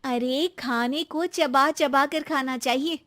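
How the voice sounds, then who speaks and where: A woman voicing a mild, patient young daughter-in-law in a cartoon moral story, speaking gently and a bit hesitantly. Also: sits high, slow pace, pitched above the performer's own voice, heavily acted.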